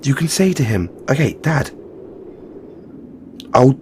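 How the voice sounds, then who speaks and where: A man's voice: mid-range voice, very animated, takes it slowly, a little mellow, a little hiss or hum. A man playing a frank, caring Christmas elf in an audio roleplay, earnest and informal, chiding yet reassuring. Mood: coaxing. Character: frank, caring male Christmas elf, weary but supportive. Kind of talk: second-person audio roleplay monologue.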